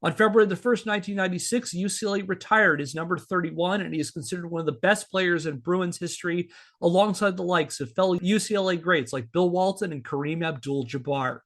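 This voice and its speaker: A man's voice: high-pitched, brisk, few pauses, a bit bright. A man hosting a podcast, explaining a story in a conversational but partly scripted way, with asides. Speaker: podcast host.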